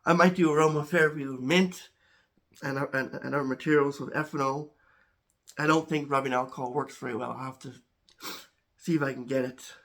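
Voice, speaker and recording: A man hosting a home chemistry channel talks casually to camera, a little congested, halting with many restarts and repeated words. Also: medium pitch, steady tone, fairly bright tone.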